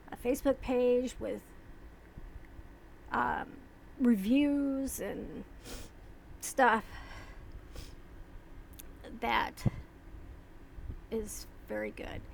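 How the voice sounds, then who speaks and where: A woman vlogger talking casually and slowly, rambling with pauses and restarts. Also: a little high, creaky, a little hiss or hum. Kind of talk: casual talk to camera.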